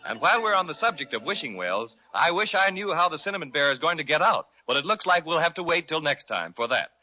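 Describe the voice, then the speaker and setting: An actor playing a friendly, theatrical announcer narrating an old-time children's radio serial. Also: male voice, fairly high pitch, sing-song, quick pace, pushed and bright, heavily acted. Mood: sympathetic, inviting. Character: friendly, theatrical male storyteller. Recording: muffled old radio recording, music behind.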